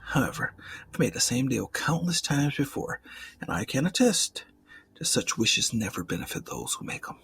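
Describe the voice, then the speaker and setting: A man playing an ancient, suave and jaded male demon in an ASMR roleplay, speaking in formal, theatrical, half-whispered phrases with a breathy, gravelly voice. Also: medium pitch, very animated, normal speed, fairly bright tone. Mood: sly, superior.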